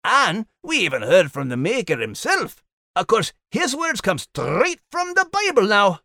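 A man's voice: high voice, huge pitch swings, a little slow, vocal fry, bright, forceful tone, dry recording, clean studio sound, exaggerated voice. A performer voicing a friendly male terrier who co-hosts the podcast with a hearty, folksy manner. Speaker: podcast co-host.

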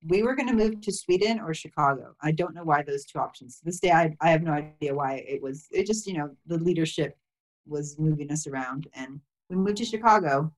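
A woman who grew up in Thailand, speaking as a memoir author and guest in a casual chat, reflective and soft-spoken with many "you know" fillers and light laughs. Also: low voice, animated, brisk, poor audio. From grew up mostly in Thailand.

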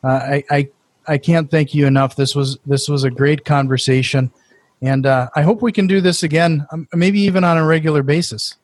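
A man's voice: on the high side, moderate pace, no echo. A man with a Norwegian accent hosting a casual podcast interview, enthusiastic and full of restarts. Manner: enthusiastic, many restarts and fillers. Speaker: podcast host.

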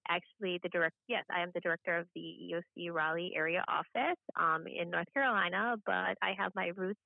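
Gravelly, low-key American woman guest. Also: steady tone, no echo. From Hawaii, United States. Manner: halting, with frequent "you know". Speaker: podcast guest, government official. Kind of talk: conversational interview introduction. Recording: slightly dull, lo-fi audio.

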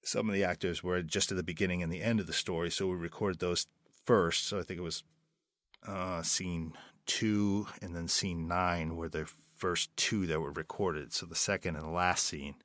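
Deep-voiced man producer, dry sound. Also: fairly even intonation, moderate pace, a bit bright, no room sound. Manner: rambling, hesitant with fillers. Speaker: audio drama producer. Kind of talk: interview guest.

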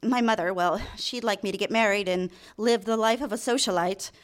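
An actress playing a nervous, eager young secretary smitten with her boss, halting and deferential, in a live radio drama. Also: medium pitch, natural voice. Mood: earnest, admiring. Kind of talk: live radio drama acting with first-person narration. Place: theater stage, live show.

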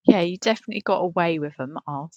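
A woman's voice: fairly low pitch, fast, a little mellow. A woman co-hosting a podcast, chatting in a rambling, hesitant, matter-of-fact comic style. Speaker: podcast co-host. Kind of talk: casual comic podcast chat.

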